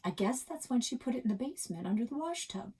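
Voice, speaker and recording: A woman reading a story aloud in an expressive, dramatic storytelling style with emphatic pauses. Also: fairly high pitch, slightly rough. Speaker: librarian reading a children's novel aloud.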